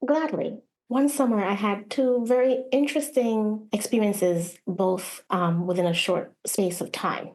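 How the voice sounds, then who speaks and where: A woman with a medium-pitched voice is interviewed as a playwright. She tells her own story in an expressive, conversational way, sometimes voicing quotes. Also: measured pace, few pauses, poor audio. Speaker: guest playwright.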